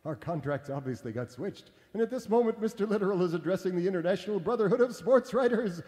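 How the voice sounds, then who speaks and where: A man's voice: high-pitched, lively intonation, fast talker, mellow, big-room sound. A man playing a pompous, speechifying older male activist guest in a radio comedy sketch. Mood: alarmed. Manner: orotund, speechifying, flustered. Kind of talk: 1990s radio comedy acting.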